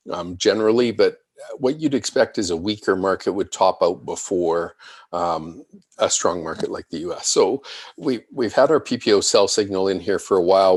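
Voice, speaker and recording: A man giving unscripted analyst commentary on charts, measured and explanatory, thinking aloud as he presents. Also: fairly low pitch, dry recording. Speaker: financial market analyst presenting.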